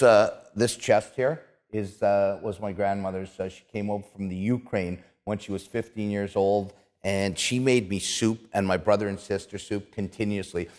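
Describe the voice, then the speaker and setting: A man, chatting casually and haltingly as he hosts an interview show. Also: lowish voice, a little mellow. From Canada. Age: 50s. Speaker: talk show host. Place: small indoor studio set, seated on couch. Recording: roomy studio with long reverb.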